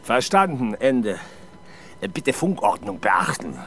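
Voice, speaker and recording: An actor playing a weary, sardonic middle-aged police inspector in a German radio crime drama, grumbling with dry irony. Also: male voice, on the low side, very animated, slow pace, a bit bright, soft background music, full character voice. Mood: brisk, official. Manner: grumbling, dryly ironic. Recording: faint background noise.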